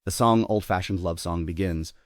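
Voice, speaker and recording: A man's voice: deep voice, moderate pace, dry recording. A man narrating a script, reading stage directions and scene summaries aloud.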